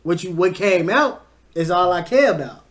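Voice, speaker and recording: A man's voice: high-pitched, lively intonation, moderate pace. A young adult man co-hosting a podcast, blunt and heated, swearing freely in casual group chat. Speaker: podcast co-host.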